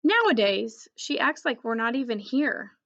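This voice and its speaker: A woman reading a children's storybook, voicing a gentle female dog with a wistful manner. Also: fairly high pitch, big pitch swings, measured pace, muffled recording, full character voice. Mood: mournful. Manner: wistful, lovely-voiced. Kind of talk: children's storybook read-aloud.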